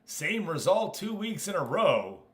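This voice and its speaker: A man's voice: high-pitched, very animated, unhurried. An American man hosting a livestream, chatting casually with viewers and commentating on a game as he goes. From United States. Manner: chatty, hops between addressing viewers and explaining. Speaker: live streamer and host.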